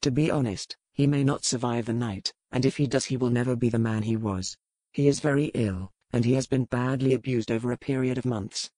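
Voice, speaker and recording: A woman narrator plays a brooding man of the sea in a historical romance audiobook. He speaks in a low, dropped register, curt and formal, with bitterness under the words. The sound is dry and close. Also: fairly quick, dark tone, no echo. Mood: grave. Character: brooding, bitter male lover, a pirate captain.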